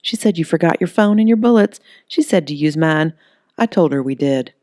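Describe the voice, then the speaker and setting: A woman's voice: sits low, animated, moderate pace, a little mellow, no room sound, clean studio sound. A woman voicing Holly, a brassy, flirty, tough woman who loves pink, with a sassy drawl. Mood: brisk. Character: brassy flirty middle-aged woman, pink-loving trailer owner, tough.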